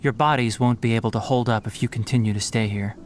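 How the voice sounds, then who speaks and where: A young man voicing a calm, rational forest ranger and scholar in a studio game, explaining in a measured way and giving firm instructions. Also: mid-range voice, heavy background noise, close to an everyday voice. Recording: game audio with faint music underneath.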